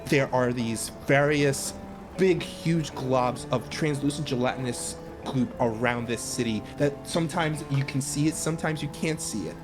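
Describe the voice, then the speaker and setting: A man narrating a tabletop game, improvising descriptions in halting, informal phrasing. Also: mid-range voice, over music.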